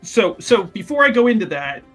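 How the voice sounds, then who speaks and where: A man's voice: high-pitched, big pitch swings, normal speed, fairly bright tone, slightly rough, faint music behind. A man talking casually as a player in a tabletop roleplaying game, explaining his character's moves.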